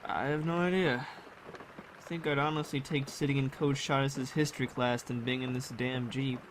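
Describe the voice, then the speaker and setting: A man acting a weary, sarcastic high school boy in an audio drama, with a dry delivery. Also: flat delivery, normal speed, close to an everyday voice. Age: young adult. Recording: ambient vehicle noise behind.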